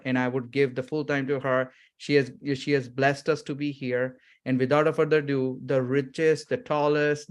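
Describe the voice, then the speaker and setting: A man hosting a webinar, enthusiastically introducing a speaker on a video call and repeating his words. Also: mid-range voice. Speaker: webinar host.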